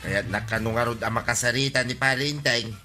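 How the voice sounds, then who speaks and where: A man's voice: mid-range voice, a little fast, over music, lightly acted. A man playing an exasperated, scolding village husband in a comedy radio drama, nagging with an Ilocano accent.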